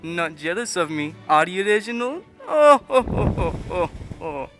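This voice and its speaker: A man acts a smug old duke in a radio play. The voice sits fairly high and bounces around in pitch, pompous and teasing, with faint music behind. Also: sing-song, a little slow, a bit bright, full character voice. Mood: mocking. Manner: pompous, mocking.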